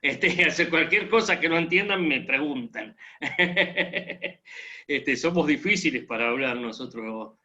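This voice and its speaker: Chatty Argentine man, bright tone, lo-fi call. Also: a little high, expressive, a little slow. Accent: Argentine Spanish. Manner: chatty, joking, many fillers. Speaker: guest speaker. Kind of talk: casual online conversation.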